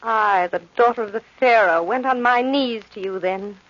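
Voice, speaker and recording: An actress playing an imperious, centuries-old undead Egyptian princess with a haughty, mocking, deliberate delivery in radio horror style. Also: female voice, fairly high pitch, a bit bright, lightly acted. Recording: dull, noisy old radio recording.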